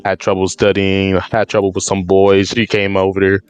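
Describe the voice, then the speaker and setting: A man's voice: low-pitched, completely flat delivery, moderate pace, clean tone, lo-fi sound. A man in casual podcast chat, talking in a loose, slangy way with stumbling restarts.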